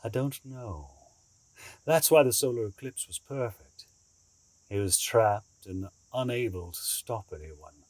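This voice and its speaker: A man playing a grave, secretive schemer in an audio drama, speaking in a measured, cryptic, formal way. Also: medium pitch, expressive, darkish tone, no room sound, natural voice, low-quality recording. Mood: guarded. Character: grave, secretive male schemer, an escaped captive bargaining.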